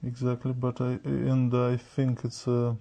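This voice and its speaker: A man from Russia with a Russian accent, a candidate in a speaking exam, talking in halting, broken phrases. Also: medium pitch, completely flat delivery, vocal fry, mellow.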